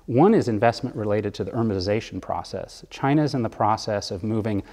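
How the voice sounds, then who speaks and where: Man, bond fund manager, interview guest, measured explanations, reverberant room. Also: fairly low pitch, expressive, dark tone. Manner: measured, explanatory, with occasional fillers. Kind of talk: studio interview, explaining economics.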